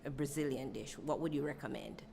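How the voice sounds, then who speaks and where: A woman's voice: very deep voice, fairly quick, creaky, crowd noise. A woman interviewing a student guest for a class project, asking long, meandering questions.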